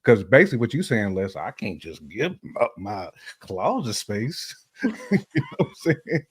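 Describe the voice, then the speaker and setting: Low-voiced American man, slangy casual chat. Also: a little slow, breathy edge. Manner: animated storytelling with slang and hypothetical examples. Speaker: talk show host.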